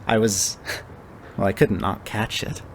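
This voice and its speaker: A man voicing a kind-seeming woman in a tabletop game, with a casual, hesitant, soft-spoken manner. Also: on the low side, fairly even intonation, slow pace, a little hiss or hum. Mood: friendly, casual. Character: kind-seeming young woman, genuine, quietly joyful, secretly callous.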